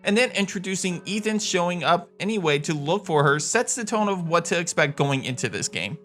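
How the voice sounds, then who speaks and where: A man's voice: high voice, lively intonation, brisk, fairly bright tone. A man hosting a video game countdown, reading scripted narration in an enthusiastic, emphatic way. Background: music.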